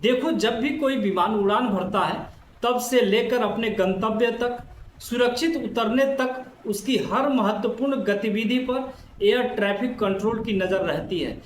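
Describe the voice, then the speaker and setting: High-pitched man narrating an explainer, roomy echo. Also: a little slow. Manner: addresses listeners directly, explanatory. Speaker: narrator of an educational explainer video. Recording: roomy echo, faint background noise.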